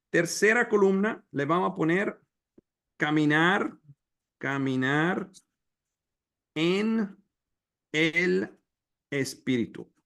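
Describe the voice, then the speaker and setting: A man whose first language is English, leading a Bible study in slow, halting Spanish with pauses and restarts over a video call. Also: mid-range voice, a bit bright. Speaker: preacher.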